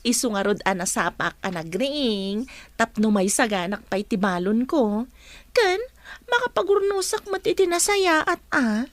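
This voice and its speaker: Woman as high, lively teasing young Filipina trainee. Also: a little slow, no echo. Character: chatty, teasing young female student teacher. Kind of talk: Ilocano audio drama acting.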